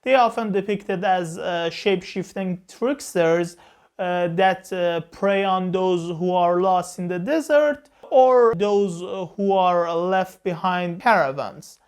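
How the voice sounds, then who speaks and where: Woman, film review host, unhurried pace, measured lecturing tone, frequent "uh" pauses. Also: lowish voice, a little mellow, clean tone. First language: Persian. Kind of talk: explanatory film review talk.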